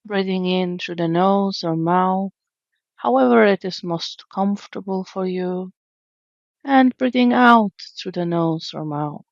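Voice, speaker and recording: A woman leading a guided meditation in a gentle, soothing, unhurried way with long pauses, in a slightly dull recording. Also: mid-range voice, no room sound. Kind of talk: guided meditation, slow calm narration.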